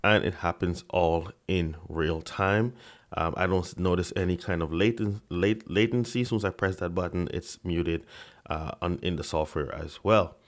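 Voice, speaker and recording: Guy with a really deep low voice reviewing a mic at his desk, stutters and restarts a lot. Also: fairly even intonation, normal speed. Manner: stutters, repeats and breaks off words. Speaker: tech reviewer. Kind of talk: casual product review. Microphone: desk microphone under review.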